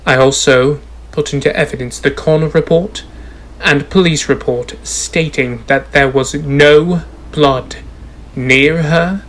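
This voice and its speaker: A courtroom lawyer, acted by a man, narrating evidence in a radio play. His medium-pitched delivery is formal and declamatory. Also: a bit bright. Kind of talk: stage radio drama narration.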